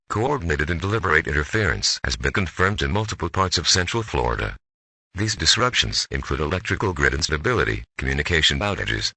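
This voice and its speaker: A man's voice: low voice, pushed and bright, breathy edge, no room sound. A man reading a scripted emergency broadcast warning in a formal, authoritative manner. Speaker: emergency alert announcer.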